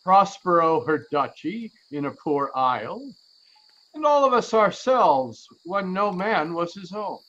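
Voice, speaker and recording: An actor plays a devout old royal counsellor, garrulous and effusive. His male voice sits high as he declaims Shakespearean verse in a radio play. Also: big pitch swings, measured pace, fairly bright tone, highs cut off, heavily acted. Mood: joyful reverence.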